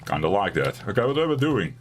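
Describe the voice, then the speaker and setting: A raspy, fairly low-pitched man rambles through casual let's-play commentary, joking at his own expense as he streams from a home gaming setup. Faint keyboard clicks tick away behind him. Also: big pitch swings, fast, slight background noise. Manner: rambling, self-deprecating, chatty.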